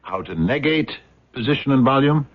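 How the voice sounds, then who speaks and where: An actor playing a defiant, sardonic American scientist in a radio drama, with deliberate, dramatic delivery. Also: male voice, medium pitch, expressive, natural voice. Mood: measured, accusing. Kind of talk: 1970s radio drama acting. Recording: dull, lo-fi old radio broadcast.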